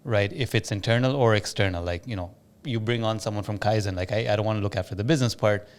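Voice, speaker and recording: A man's voice: fairly low pitch, fast talker. A man hosting a podcast, chatting casually as he interviews a guest and shares his own experience as a business owner.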